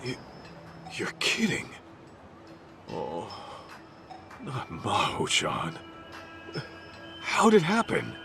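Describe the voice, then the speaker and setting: An actor playing a gruff, blunt middle-aged detective with a wounded heart and a halting delivery, in a video game cutscene. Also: male voice, mid-range voice, wildly swooping pitch, pushed and bright, exaggerated voice. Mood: shocked, grieving. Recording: studio voice with music behind.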